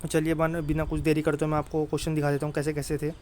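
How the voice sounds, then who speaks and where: A man's voice: fairly high pitch, completely flat delivery, racing, darkish tone. Male exam tutor, informal and familiar, very level intonation, slightly noisy recording. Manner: repeatedly checks in with listeners in a familiar, brotherly way. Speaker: exam prep teacher. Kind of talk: informal exam coaching talk. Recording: clear background noise.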